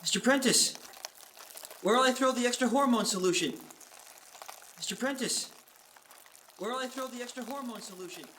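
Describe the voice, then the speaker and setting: A man's voice: very high-pitched, moderate pace, pauses often, pushed and bright, slight background noise. An actor playing a naive young male assistant in a 1940s-style radio horror drama, insistently repeating himself. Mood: insistent, eerie. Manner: insistent, repetitive.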